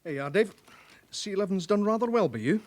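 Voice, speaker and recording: Methodical British police collator. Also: male voice, high voice, animated, a little mellow. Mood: pleased, methodical. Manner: measured, explanatory. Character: methodical, self-satisfied male police records collator. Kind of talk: radio drama acting.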